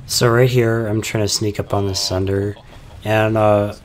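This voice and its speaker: A young man calmly explaining his tactics over game footage, sounding a little stuffy from a cold, with frequent "uh" fillers. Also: fairly low pitch, a little slow. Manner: calm, explanatory, many "uh" fillers. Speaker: gameplay commentator.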